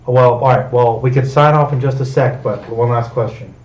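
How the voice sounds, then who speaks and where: A man's voice: mid-range voice, fairly even intonation, moderate pace, darkish tone, distant mic. A man hosting a casual pop-culture chat among friends, speaking conversationally. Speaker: podcast host. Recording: echoey, muffled, off the mic.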